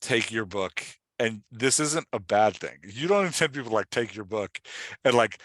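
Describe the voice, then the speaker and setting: A man with a bright, forceful voice speaks as a host and coach, recorded close and dry. He explains emphatically in a conversational style and often steers with leading questions. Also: on the low side, expressive, moderate pace, no room sound. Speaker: podcast host and writing coach.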